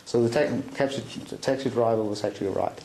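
A man's voice: mid-range voice, fast, dark tone, reverberant, slight background noise, rough recording. A middle-aged man with a Danish accent recounting a personal story in an interview, with many fillers and restarts. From Denmark. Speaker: interview guest. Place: small room indoors, seated at a table.

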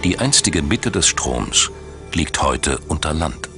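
A male narrator. Clear music plays underneath his voice. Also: rumbling low, big pitch swings, slow pace. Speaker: documentary narrator. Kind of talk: scripted documentary narration. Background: background music.

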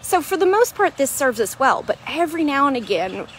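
A woman's voice: sits high, big pitch swings, a little fast, fairly bright tone, slight background noise, low-quality recording. A woman, a weight loss coach chatting casually in a walk-and-talk vlog, explaining things with lots of "right?" and "um". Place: outdoors at a beach park. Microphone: wired earphone mic, phone at arm's length.

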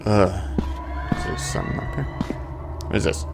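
A man giving casual let's-play commentary, muttering asides and bursting into sudden exclamations, as host of a gaming video. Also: moderate pace. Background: game music and sound effects.

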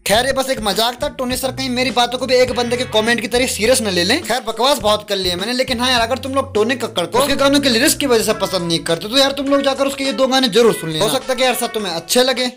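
Fast, high-voiced young man roasting. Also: fairly bright tone, soft background music. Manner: casual, mocking, rapid banter. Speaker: roast video creator. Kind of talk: comic roast voice-over.

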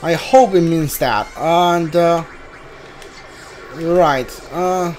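A man streaming a game with casual, halting commentary, often repeating himself. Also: on the high side, poor audio. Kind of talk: casual live playthrough commentary. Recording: steady background noise.

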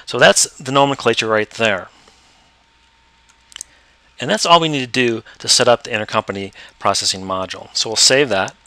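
A man explaining step by step how to use accounting software in a screen-recorded tutorial. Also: mid-range voice, moderate pace, long pauses, no echo. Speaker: software trainer.

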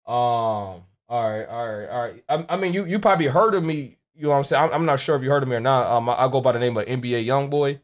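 A young man with an American accent talks in a medium-pitched voice. His speech is casual and full of slang, with stuttered, repeated words, like someone making a prank call from his room at home. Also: fast, hardly any pauses. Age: young adult. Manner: slangy, stammering repetitions. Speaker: prank caller posing as a rapper client. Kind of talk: casual phone prank chat.

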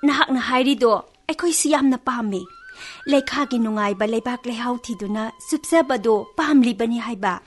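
A woman playing a cheerful, eager talking flower plant in a radio play, animated and giggly. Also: fairly high pitch, racing, natural voice. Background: faint music.

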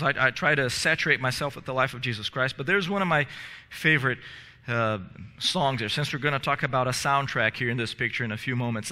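Earnest bright-voiced pastor in a church. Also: male voice, medium pitch, big-room sound. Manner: earnest, gesturing, many restarts and repeated words. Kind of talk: sermon. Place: church sanctuary in front of stage steps. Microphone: headset mic.

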